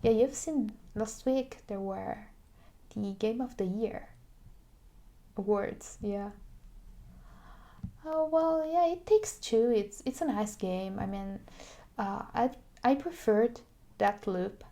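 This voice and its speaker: Soft, slow-talking woman in ASMR roleplay. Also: mid-range voice. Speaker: ASMR creator playing a video game shop clerk.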